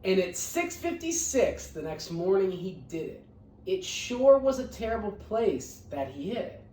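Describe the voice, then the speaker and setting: A woman reading a children's storybook aloud as an expressive narrator. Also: medium pitch, a little slow, a little hiss or hum. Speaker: read-aloud storyteller, teacher. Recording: roomy echo, distant microphone.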